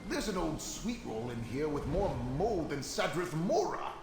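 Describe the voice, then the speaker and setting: A man's voice: a little high, very animated, unhurried, echoey room, long reverb tail, over music. A man voicing a wry, teasing adventuring companion who drawls out banter in a fantasy video game.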